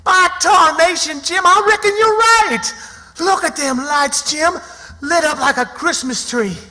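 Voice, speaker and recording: A man's voice: extremely high pitch, measured pace, bright, forceful tone, long reverb tail, full character voice. An actor playing a folksy, earnest runaway country boy in radio drama, with an American Southern accent and rustic, ungrammatical speech. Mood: excited.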